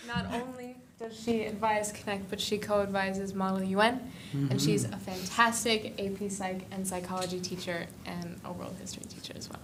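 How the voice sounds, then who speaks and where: A teenage young woman presenting informally as a student club member, in a casual, halting way. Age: teens. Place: indoor school board meeting room. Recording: noisy meeting room with rumble.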